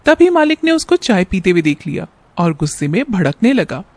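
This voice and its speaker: A woman's voice: on the low side, big pitch swings, moderate pace, warm tone, dry recording. A woman narrating a scripted moral story as a storyteller.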